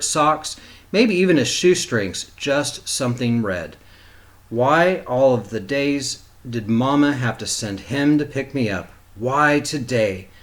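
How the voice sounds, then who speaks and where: A man reads a children's storybook aloud as a teacher would. His medium-pitched voice is very expressive, moving freely up and down.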